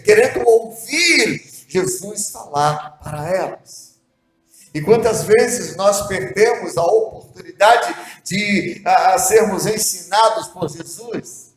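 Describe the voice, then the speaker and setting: High-voiced pastor, slow and echoey. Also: male voice. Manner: earnest, rhetorical questions to the listener. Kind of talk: devotional sermon. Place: church hall.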